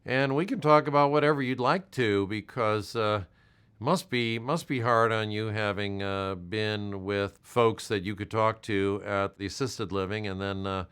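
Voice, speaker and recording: An older man with an American accent, talking in a gentle, halting, reassuring manner as a volunteer making a check-in call. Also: lowish voice, clean tone. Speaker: health department volunteer caller. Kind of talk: supportive check-in phone call.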